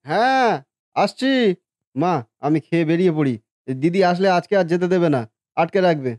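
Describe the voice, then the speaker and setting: A man's voice: high voice, animated, normal speed, a little mellow, dry recording, studio quality. A man playing a hardworking, dutiful grown son in a cartoon family drama, caring and businesslike. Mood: hurried, caring.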